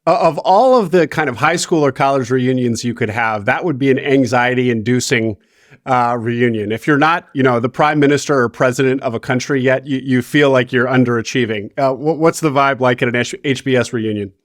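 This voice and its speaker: A man's voice: medium pitch, brisk, dry recording. Man, podcast host, close dry mic, conversational, emphatic rises. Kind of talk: podcast interview.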